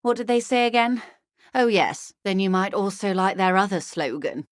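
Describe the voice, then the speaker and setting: An actress playing a bourgeois corporate negotiator in a video game, polite and articulate, with a measured, drily condescending wit. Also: female voice, medium pitch, no echo, studio quality, close to an everyday voice. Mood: drily amused. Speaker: senior labour negotiator. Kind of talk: video game dialogue voice acting.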